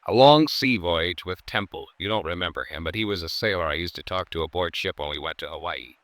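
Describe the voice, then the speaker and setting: A man's voice: low-pitched, a little fast, fairly bright tone, no echo. An American actor playing a troubled, resolute husband who is walking away from his life, in an intense theatrical 1950s radio drama style. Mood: weary, resolute.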